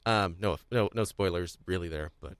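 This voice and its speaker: A man with a fairly low voice, recorded close and dry. He chats casually as a podcast co-host, rambling and circling back as he works out his phrasing, with a self-deprecating, jokey streak. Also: normal speed, smooth, no room sound. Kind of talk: casual panel chat.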